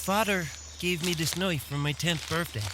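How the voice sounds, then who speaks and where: Man as a polite village boy, high-pitched, earnest, hesitant, fantasy audio play, faint noise behind. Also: moderate pace, lightly acted. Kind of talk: fantasy audio drama acting.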